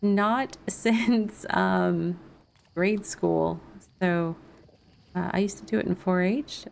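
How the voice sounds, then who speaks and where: A woman with a noticeably creaky, fry-edged voice at a medium pitch, chatting informally like a game streamer. She laughs easily and keeps it casual, with a light noise in the background. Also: darkish tone. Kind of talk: casual livestream gameplay chat.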